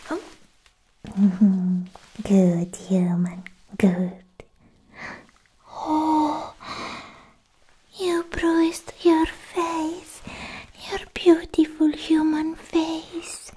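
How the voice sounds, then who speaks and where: A young woman playing a sweetly possessive, obsessed alien girlfriend in a whispered, coaxing ASMR roleplay, speaking close to the mic in halting phrases. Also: sits high, expressive, full character voice. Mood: doting, possessive. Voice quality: breathy, mostly whispered. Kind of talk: soft-spoken ASMR roleplay. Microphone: close ASMR microphone.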